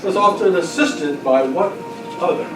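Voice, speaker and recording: A man's voice: high voice, a little slow, music in the background. A man acting as quiz master at a club gathering, reading out questions with joking, teasing banter. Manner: jokey, teasing. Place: club luncheon in a roomy indoor space. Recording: echoey room from far off, chattering crowd. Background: chatter of club members.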